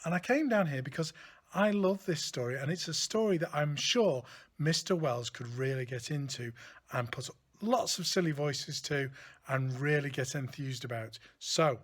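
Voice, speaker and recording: A man reading a children's picture book aloud in an expressive, deliberate storytelling manner, like a school teacher. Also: a little high.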